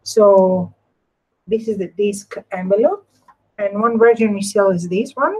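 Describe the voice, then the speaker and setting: A woman with a Greek accent and a husky, grainy voice at a medium pitch. She talks casually to viewers while demonstrating a craft, often repeating and rewording her explanations. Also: unhurried, darkish tone, rough recording. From Greece. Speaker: craft tutorial host.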